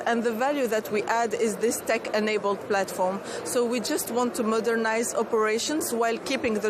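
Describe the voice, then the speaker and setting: A woman in her thirties from Tunisia, a startup founder answering interview questions earnestly, with many restarts and fillers. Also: a little high. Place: outdoor expo stand. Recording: clear street noise behind.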